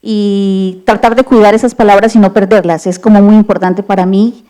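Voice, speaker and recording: A woman's voice: mid-range voice, steady tone, moderate pace, mellow. A woman with a Bucaramanga Colombian accent, speaking thoughtfully at a literary panel. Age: adult with a partner. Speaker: panel writer. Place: library event hall.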